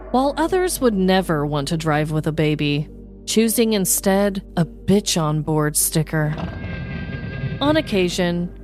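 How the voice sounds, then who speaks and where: A woman's voice: medium pitch, a little mellow. A woman podcast host who moves between casual chat and scripted, descriptive comedic storytelling.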